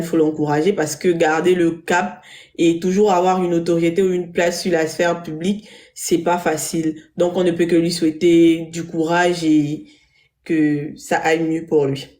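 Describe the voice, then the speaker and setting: A man speaking as a panel guest, giving his opinion in a casual, measured way. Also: fairly high pitch, flat delivery, darkish tone.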